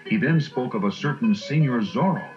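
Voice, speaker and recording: A man's voice: medium pitch, animated, moderate pace, a little mellow, soft background music. A man narrating a scripted adventure storybook. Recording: muffled storybook recording.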